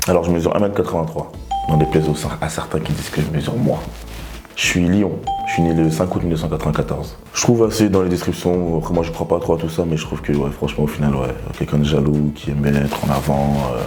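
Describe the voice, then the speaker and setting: A man in his twenties, from Togo, answering interview questions in a relaxed, rambling way with lots of fillers. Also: a bass voice. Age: 20s. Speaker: reality TV contestant.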